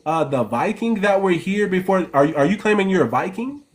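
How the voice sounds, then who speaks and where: High-voiced man lecturing insistently. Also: animated. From United States. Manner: insistent, lecturing, repeats himself rapidly. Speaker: host. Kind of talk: heated live call-in debate.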